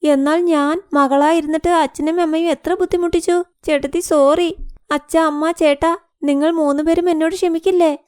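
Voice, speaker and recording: Woman playing young pregnant daughter, very high voice, plaintive, wheedling, cartoon moral tale, close dry sound. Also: fairly even intonation, normal speed, darkish tone, no echo. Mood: remorseful. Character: demanding young married pregnant daughter, self-pitying, later remorseful. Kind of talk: Malayalam cartoon moral story acting.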